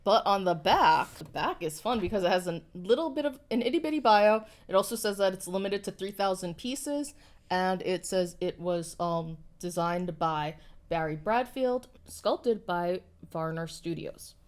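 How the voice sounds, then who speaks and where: A young woman co-hosting a collectible review at a studio table, frank with her opinions and quick to laugh. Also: fairly low pitch, expressive. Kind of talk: casual review chat. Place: indoor studio set at a table.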